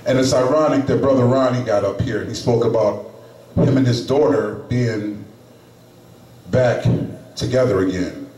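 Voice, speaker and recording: A middle-aged man preaching a sermon in a small chapel into a handheld microphone, emphatic and pausing often. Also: medium pitch, dark tone. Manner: slow, emphatic preaching with pauses. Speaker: lay preacher. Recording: echoey room, camera at a distance.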